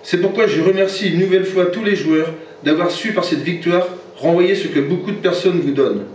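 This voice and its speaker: A man's voice: high-pitched, a little fast, darkish tone, slight background noise. A French man, a football club president, reading a formal speech at a reception and now and then stumbling over his notes. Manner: formal, reads from notes, stumbles and corrects himself. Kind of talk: formal prepared speech read aloud. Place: reception hall. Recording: very echoey hall from far off, dull sound.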